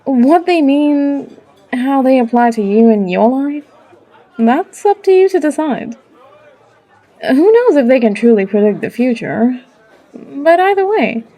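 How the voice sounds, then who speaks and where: Woman playing a slow, mellow, teasing female barkeep fortune teller. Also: sits high, full character voice, rough recording. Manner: coaxing, unhurried, calls listener love. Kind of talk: character audio roleplay acting.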